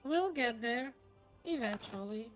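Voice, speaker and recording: A woman's voice: on the high side, animated, takes it slowly. Woman gamer chatting over music. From Croatia. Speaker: gaming streamer. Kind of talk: casual chat while playing a strategy game.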